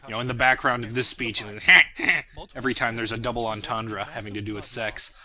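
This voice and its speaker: A young man with a strikingly bright, sharp voice gives an informal commentary on his own work. He rambles reflectively and pokes fun at himself. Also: medium pitch, steady tone, brisk. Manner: self-deprecating, rambling, reflective. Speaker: creator of a fan parody series, commentator.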